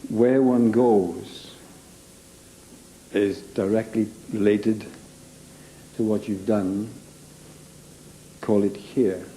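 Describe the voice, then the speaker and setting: A man with a very dark, low voice gives a personal account. He speaks very slowly and haltingly, repeating phrases with emphasis. The testimony sounds unscripted. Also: fairly even intonation, a little hiss or hum, rough recording. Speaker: interviewee giving a personal account.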